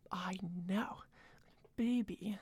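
A young woman doing a soft, close-mic whispered girlfriend roleplay, rambling and doting, a little tipsy. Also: mid-range voice, steady tone, very slow, slightly rough, no echo. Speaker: ASMR roleplayer.

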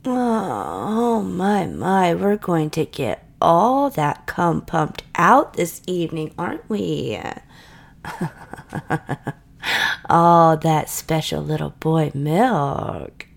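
A woman in an intimate audio roleplay, speaking softly and coaxingly, often dropping into whispers between breathy sighs and murmurs. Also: lowish voice, expressive, drawn-out words. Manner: soft, coaxing and doting, slipping into whispers.

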